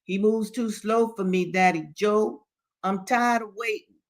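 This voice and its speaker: A woman's voice: medium pitch, steady tone, a little slow, pitched above the performer's own voice, natural voice. Woman reading as a defiant, embittered man. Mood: bitter rebuke. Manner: emphatic, formal, rebuking. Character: defiant, embittered enslaved man rejecting religion. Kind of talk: dramatic novel reading.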